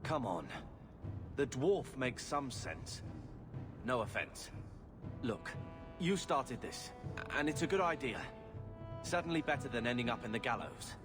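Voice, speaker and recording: Headstrong blunt young brother, insistent, expressive pitch swings, game cutscene, music playing behind. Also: male voice, measured pace, long pauses. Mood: impatient, insistent. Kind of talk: video game cutscene dialogue. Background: background music.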